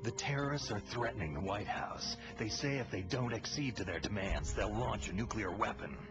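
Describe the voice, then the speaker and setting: A man playing a terse, cool, hardened special-forces infiltrator in a video game cutscene, with a gravelly, breathy rasp. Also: fairly low pitch, expressive, moderate pace. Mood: probing, serious. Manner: terse, commanding. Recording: dull game audio under music. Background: loud music.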